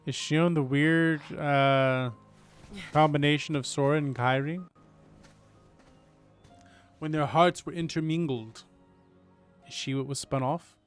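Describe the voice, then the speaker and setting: A man's voice: a little high, fairly even intonation, slow pace, long pauses, clear, resonant tone. A man live streaming a video game speedrun, chatting and reading on-screen text aloud. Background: video game music.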